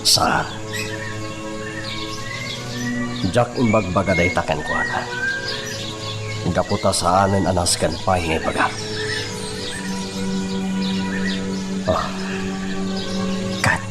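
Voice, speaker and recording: Casting: man as sorrowful elderly Filipino father, deep register, radio serial storytelling with prominent music underneath. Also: normal speed, long pauses, lightly acted. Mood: concerned. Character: sorrowful old father recounting lost love and son. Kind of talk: radio drama acting. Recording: loud music bed behind the voice.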